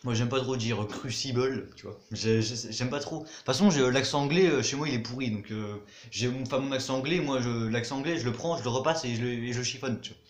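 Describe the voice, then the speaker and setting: A man's voice: medium pitch, a little fast, few pauses, roomy sound. Chatty young man narrating his gameplay. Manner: chatty, mutters to himself, swears casually. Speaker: gaming YouTuber. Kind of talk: casual gameplay commentary.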